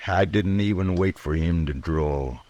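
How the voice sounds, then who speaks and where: An actor playing a vengeful Old West outlaw in a radio drama, with an American country accent and a sullen, defiant delivery. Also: male voice, low-pitched, a little slow, a little mellow. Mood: bitter. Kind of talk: 1950s radio western acting.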